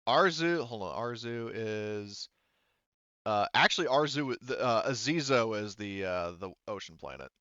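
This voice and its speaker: A man running a tabletop roleplaying game as game master, improvising narration in a casual, halting way as he thinks aloud. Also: lowish voice, animated, fairly bright tone, no room sound, muffled recording.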